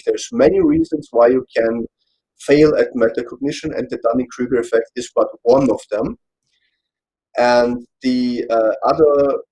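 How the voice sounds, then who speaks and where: A man from Austria with an Austrian German accent, German his first language, explaining a psychology topic in a casual online panel, pausing often to search for words. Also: medium pitch, a little mellow, breathy edge, low-quality recording. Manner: hesitant, searching for words, checks notes.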